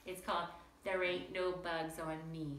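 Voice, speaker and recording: Female primary-style teacher for read-aloud lesson: crisp bright tone, addresses children directly, recorded in a reverberant room. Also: fairly low pitch, flat delivery, a little slow. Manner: chatty, questioning, addresses young listeners directly. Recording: roomy echo with faint background noise.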